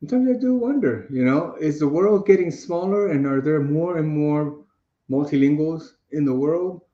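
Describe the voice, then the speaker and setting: A man's voice: a little high, moderate pace, dark tone. Chatty man co-hosting in a roomy space. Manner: chatty, rambling, many fillers and restarts. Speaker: co-host of a live chat on multilingual kids. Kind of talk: casual live chat. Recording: dull, roomy audio.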